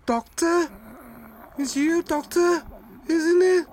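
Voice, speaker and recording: A man's voice: very high-pitched, fairly even intonation, slow pace, a little mellow, a little hiss or hum. An actor playing a terrified man pleading for his life in a horror radio play. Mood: frightened. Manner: pleading, frightened.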